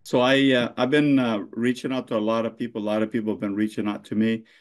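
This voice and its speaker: A middle-aged man born in Lebanon, a mayor answering interview questions in a halting way, full of fillers and restarts. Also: medium pitch, little pitch movement.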